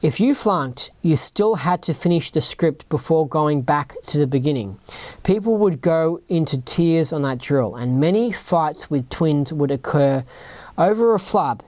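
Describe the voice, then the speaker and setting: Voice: medium pitch, lively intonation, measured pace, a little mellow, no room sound. Rambling Australian podcaster reading aloud. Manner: rambling, keeps asking "right?" and "you know what I mean?". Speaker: podcast host. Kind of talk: reading a written account aloud with off-script asides.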